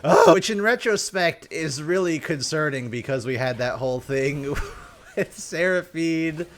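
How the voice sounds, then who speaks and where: A man's voice: a little high, expressive, measured pace, slight background noise. A man hosting a game review with casual, rambling gameplay commentary and sarcastic asides. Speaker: host of a game review series.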